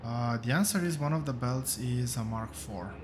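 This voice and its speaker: A man with a rough voice, thinking aloud as he hosts a relaxed gameplay commentary, with many fillers and pauses. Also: medium pitch, over music. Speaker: gaming channel host.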